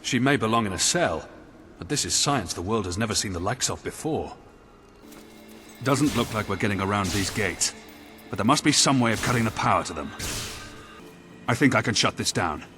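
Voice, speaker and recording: Low-voiced, dryly sardonic game officer. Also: male voice, expressive, normal speed, long pauses, bright, forceful tone. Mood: dry, wary. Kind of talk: scripted video game dialogue. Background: music.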